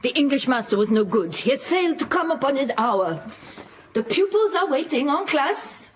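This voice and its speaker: A woman playing a shrewd, commanding middle-aged headmistress with a French accent, clipped and measured, in a period radio drama. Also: sits high, big pitch swings, slight background noise. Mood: stern, challenging.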